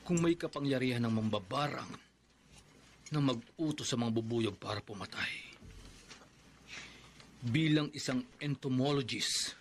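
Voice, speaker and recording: A vengeful middle-pitched entomologist in a radio play, brooding over his plan. The tape carries a steady hiss of noise behind him. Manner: brooding, sinister chuckles. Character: vengeful grieving father, scheming entomologist. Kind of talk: radio drama monologue.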